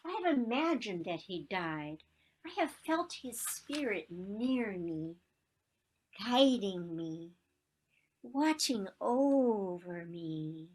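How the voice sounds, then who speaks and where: A woman acting a wistful, romantic elderly woman in a stage play, with dramatic, deliberate delivery. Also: medium pitch, slight background noise, poor audio. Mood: nostalgic, yearning. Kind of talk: one-act stage play performed on a video call.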